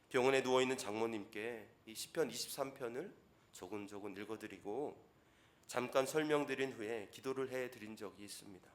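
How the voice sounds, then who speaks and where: A middle-aged pastor delivers a Sunday sermon in a church. His voice sits at an average male pitch, and his teaching is gentle and conversational, with softly polite phrasing. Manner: gentle, conversational preaching with soft polite endings. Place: church sanctuary.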